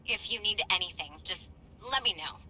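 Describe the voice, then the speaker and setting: A woman's voice: mid-range voice, lively intonation, normal speed, sharp tone, low-quality recording. An actress playing an eager, bookish young woman researcher in a video game, earnest and enthusiastic. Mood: eager, admiring. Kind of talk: video game cutscene acting.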